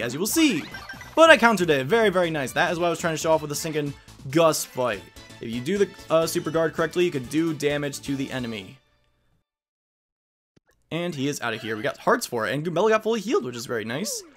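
A man's voice: a little high, animated, fast talker, soft background music. A man giving casual, chatty let's play commentary with slangy enthusiasm. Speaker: gaming video host.

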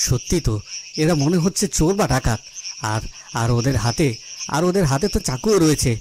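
A man's voice: a little high, animated, fairly quick, background noise, close to an everyday voice. A man playing an earnest fairy-tale king in a cartoon, dramatic, repeating phrases for emphasis. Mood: troubled, puzzled. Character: earnest fairy-tale king, troubled by crime in his realm.

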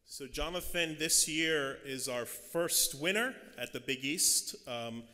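Middle-aged man, bright voice, casual halting emcee at a podium, long reverb. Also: a little high. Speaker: event co-chair and emcee. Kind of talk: ceremony announcements at a podium. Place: large hall, indoors. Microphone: podium microphone.

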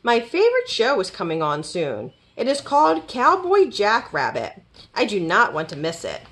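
A woman voicing a fussy, excitable male rabbit in a children's storybook, emphatic and easily flustered. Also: mid-range voice, normal speed. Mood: fussy, eager.

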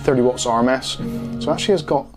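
A man's voice: mid-range voice, fast talker, music in the background. A man in his forties explaining a product in a matter-of-fact way, in a casual hands-on review. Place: small home studio room.

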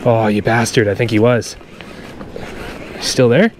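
A man's voice: mid-range voice, fairly even intonation, unhurried. A man vlogging from his kayak on open water, chatting casually to viewers while he fishes. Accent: Canadian. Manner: laid-back, chatty, talks to viewers as he fishes. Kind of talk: casual on-water narration to camera. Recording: clear outdoor water and wind noise. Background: wind and water noise.

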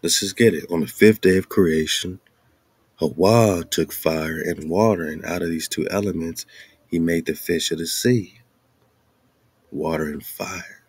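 A man's voice: low voice, a little slow, husky, no room sound. A man hosting a show, reading aloud and commenting casually with informal, slangy asides. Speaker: radio show host.

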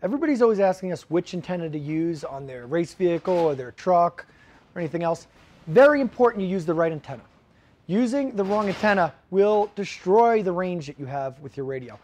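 A man's voice: high voice, lively intonation, fairly quick, darkish tone. A man in his thirties giving a casual, practical how-to talk about radio gear, first in a garage and then outdoors on a windy lot. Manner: direct, practical, frequent "okay?" check-ins. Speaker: shop owner explaining radio gear.

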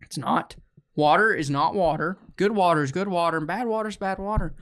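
A man's voice: high voice, brisk, fairly bright tone. A man in his twenties chatting casually as a podcast host, joking and playfully mock-dramatic, close on the mic. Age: 20s. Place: small room indoors. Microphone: handheld-close podcast microphone on a stand.

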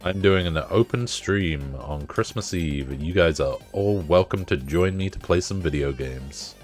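A man's voice: low voice, measured pace, faint music behind. A young man streaming a game, chatting casually with lots of swearing, sounding a bit bunged up. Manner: profane, laid-back banter with chat. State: congested, bunged up. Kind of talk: casual live-stream chat.

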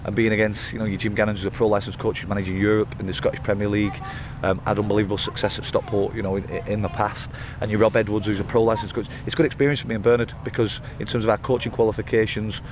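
Fast-talking football manager, noisy pitchside. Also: male voice, flat delivery. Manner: rambling, frequent restarts and fillers. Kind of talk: post-match interview. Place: outdoors by a floodlit football pitch at night. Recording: outdoor interview with loud background noise.

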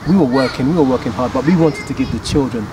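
A man raised in the UK, explaining calmly and steadily as an interview guest outdoors. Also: medium pitch, fast, noisy background. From United Kingdom. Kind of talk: casual interview. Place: outdoor garden patio.

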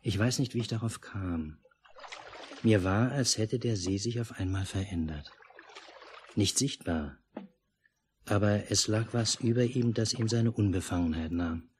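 A man playing a reflective father who narrates in the first person, measured and literary, in a 1950s German radio drama. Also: fairly low pitch, darkish tone, lightly acted. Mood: pensive. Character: pensive father narrating his lakeside memory. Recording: noisy 1950s mono radio recording.

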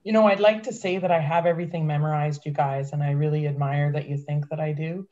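A woman's voice: low voice, fairly even intonation, fast, mellow. Frank woman on a muffled video call. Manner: candid, plain-spoken. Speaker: public health medical officer. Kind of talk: answering questions on a video call. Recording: dull lo-fi call audio.

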